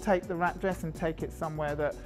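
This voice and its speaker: A man's voice: a little high, brisk, soft background music. Chatty man describing dress designs. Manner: chatty, lots of fillers, animated when describing designs. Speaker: creative director of a fashion house. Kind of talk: casual interview talk.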